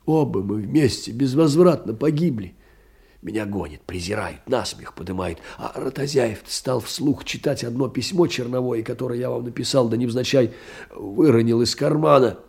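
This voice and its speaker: An actor in an audio drama playing a timid, humiliated poor middle-aged clerk in despair, halting and anguished, with a husky, breathy voice. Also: male voice, mid-range voice, expressive, a little mellow. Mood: anguished agitation. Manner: halting, anguished, swinging from whisper to outcry. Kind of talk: theatrical audio drama monologue.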